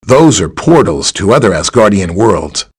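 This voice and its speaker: A man's voice: fairly low pitch, very animated, moderate pace, husky. A man playing a friendly, easygoing superhero scientist in a video game, explaining things in a casual, conversational way. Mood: explanatory. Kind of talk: video game character dialogue, briefing and greeting.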